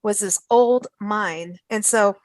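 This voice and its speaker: A woman's voice: medium pitch, takes it slowly, no room sound. A woman hosting a radio talk show, chatting casually and enthusiastically with guests. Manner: bubbly, laughing, enthusiastic. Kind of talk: casual chat interview.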